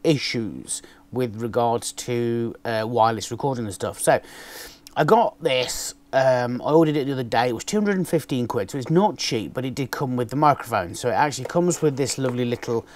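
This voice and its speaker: A man, casually chatting through an unboxing, with a naturally loud voice and a rambling way of talking full of ums. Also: medium pitch, a little fast. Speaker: motovlogger reviewing gear. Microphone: external microphone on a camera.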